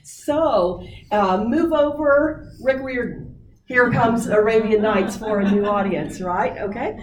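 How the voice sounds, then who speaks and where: A woman leading a writing workshop, coaching people on their book pitches with many fillers. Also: takes it slowly, darkish tone, slight background noise. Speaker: workshop presenter. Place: meeting room. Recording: roomy distant audience recording.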